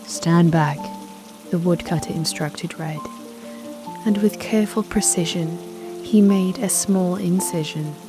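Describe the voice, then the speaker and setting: A woman narrating a children's bedtime fairy tale in a gentle scripted storytelling style. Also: on the low side, a little slow. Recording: soft lullaby music and rain behind.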